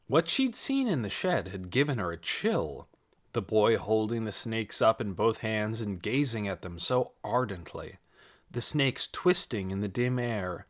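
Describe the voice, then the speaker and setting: A man narrating a novel as an audiobook reader, with careful, expressive storytelling delivery. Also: medium pitch.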